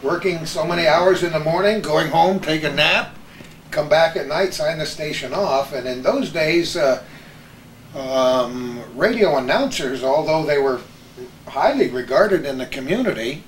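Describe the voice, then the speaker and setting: A man's voice: a little high, unhurried. An older man, a former radio announcer, reminiscing in a panel chat. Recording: roomy, distant microphone, faint noise.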